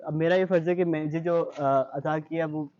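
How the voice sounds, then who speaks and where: A man's voice: a little high, steady tone, moderate pace, a little mellow, lo-fi sound. A young man with a Pakistani accent answering interview questions in an earnest, reflective way, mixing in English phrases. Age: young adult. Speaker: interview guest, high-achieving student.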